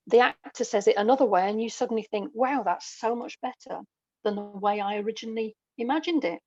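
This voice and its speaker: A middle-aged woman talks as a podcast guest with a noticeably creaky voice. She explains in a measured, conversational way, pausing between phrases. The recording is dry and somewhat dull. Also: medium pitch, darkish tone, no echo. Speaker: guest, audio drama writer. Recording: dull, close dry lo-fi audio.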